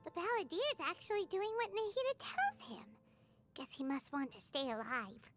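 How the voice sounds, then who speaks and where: A woman voicing a tiny, excitable childlike fairy companion in a video game, squeaky and quick to exclaim or grumble. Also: full character voice. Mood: wary, indignant. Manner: exclaiming, suspicious, refers to herself by name. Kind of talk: animated video game voice acting. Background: music.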